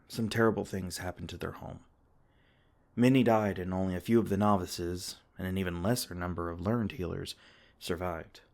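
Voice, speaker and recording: A man playing a deep, gentle fae king. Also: creaky. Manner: soft-spoken, reassuring, with small laughs. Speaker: ASMR roleplay performer. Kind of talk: ASMR comfort roleplay.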